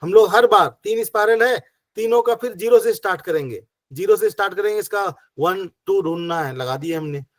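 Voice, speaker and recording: A man's voice: high voice, brisk, no echo. A man with a sore throat and a cough, patiently teaching an online acupressure class and counting points one by one. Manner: patient, counts numbers step by step. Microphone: video call.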